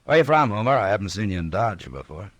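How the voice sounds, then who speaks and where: Deep-voiced, unhurried western marshal. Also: male voice, very animated, dry recording. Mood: calm questioning. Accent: American. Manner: laconic, unhurried, dryly wry. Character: calm, firm, fair-minded frontier marshal. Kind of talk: old-time radio western acting and narration. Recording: old radio drama, music behind.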